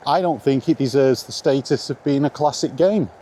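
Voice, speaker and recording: A man's voice: mid-range voice, normal speed, dark tone. A middle-aged British man chatting casually and informally about his views in a meandering, mildly sweary vlog recorded outdoors. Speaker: video maker chatting about video games. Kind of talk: casual rambling vlog chat. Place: outdoors in the rain, walking. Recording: outdoor ambient noise, faint.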